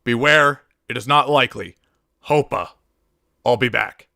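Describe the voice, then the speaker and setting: Brief: male reader for scripted game monologues, forceful bright tone with a gravelly edge, mid pitch, dry recording. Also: unhurried, no echo.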